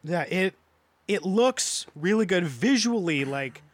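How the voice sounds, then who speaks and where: A man's voice: high voice, lively intonation, slow. A man co-hosting a podcast, casually giving his opinions in a panel chat about films. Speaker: podcast co-host.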